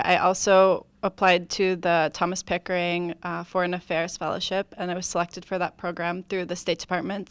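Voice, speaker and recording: Young female student panelist, smooth voice, very flat intonation, informal introduction. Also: on the low side, no room sound. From Syracuse, New York, United States. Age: 20s.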